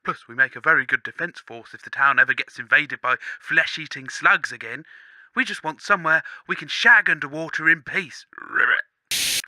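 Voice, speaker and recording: Man voicing an earnest, croaking frog person. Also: on the high side, normal speed, sharp tone, no echo. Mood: earnest, defensive. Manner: earnest pleading with croaked ribbits. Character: earnest male mutant frog-person tribesman, defensive, croaking. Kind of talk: comic news interview in radio sketch.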